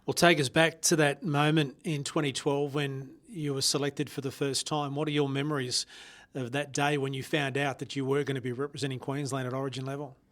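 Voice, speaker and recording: A man's voice: medium pitch, flat delivery, fairly quick, a bit bright. A man interviewing a guest in a conversational way. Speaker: podcast interviewer.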